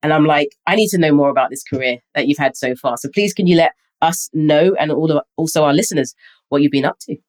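A woman's voice: sits low, fast talker, a little mellow. A woman co-hosting a business podcast in an upbeat, enthusiastic way. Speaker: podcast co-host.

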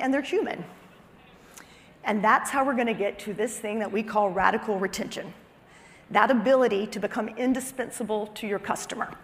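A woman with a somewhat high-pitched voice presents a business talk. She drives points home through repetition and slips into conversational asides. Her voice carries the long reverb of a hall. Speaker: chief marketing officer giving a conference talk.

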